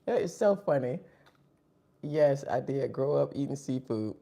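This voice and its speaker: Live-stream host, husky and dark-toned, sniffly, chatty eating banter. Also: mid-range voice, animated, unhurried. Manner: chatty, talks between bites. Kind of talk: casual live-stream chat while eating.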